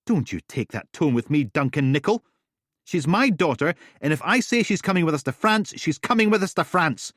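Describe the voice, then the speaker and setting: A male narrator voicing a shrill, furious middle-aged ex-wife in an audiobook, with a hectoring, scolding manner. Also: lively intonation, moderate pace, dry recording, studio quality. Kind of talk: audiobook character voice.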